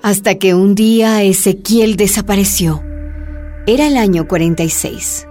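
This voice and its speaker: Radio drama narrator: woman, medium register, storytelling delivery with dramatic pauses, music bed. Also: mid-range voice.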